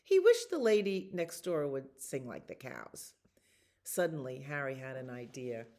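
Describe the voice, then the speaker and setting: Woman, low pitch, slow pace, children's storybook reading, expressive and deliberate storyteller. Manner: expressive, deliberate storytelling with drawn-out repeated words. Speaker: librarian reading stories for children. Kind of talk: children's storybook read-aloud.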